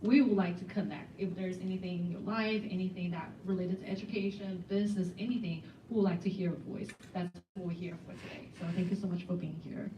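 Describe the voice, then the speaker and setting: A woman's voice: on the low side, steady tone, fast, few pauses, warm tone, a little hiss or hum. A woman from China, a small business owner, introducing herself at a meeting in a friendly, chatty way. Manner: friendly, chatty, laughs easily. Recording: echoey room, distant mic.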